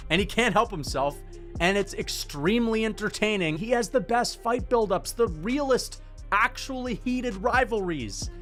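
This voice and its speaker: Guy ranting about fighters, high-ish voice, loose and sweary, music faint under. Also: moderate pace. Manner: animated, loose, swears freely. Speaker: MMA commentator. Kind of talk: casual opinion commentary.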